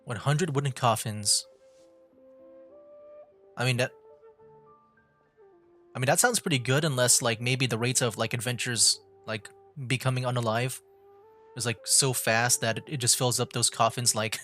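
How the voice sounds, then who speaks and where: A man livestreaming a game with casual, rambling commentary, halting and full of fillers. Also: mid-range voice, fairly even intonation, sharp tone, soft background music. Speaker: livestreaming gamer.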